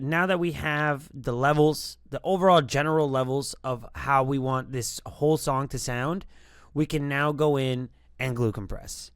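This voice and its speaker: Male tutorial host, informal and loosely rambling, medium pitch, very dry sound. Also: clear, resonant tone, dry recording. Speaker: music production tutorial host. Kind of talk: casual tutorial talk.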